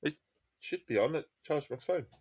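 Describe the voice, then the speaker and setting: A man's voice: on the high side, expressive, moderate pace, slightly rough. A man with an Essex accent chatting casually and rambling while he streams a game, stuffy and congested from a cold, with a casual habit of swearing. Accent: Essex English. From Essex, England. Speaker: livestreamer. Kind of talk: casual chat while gaming. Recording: loud game vehicle noise behind.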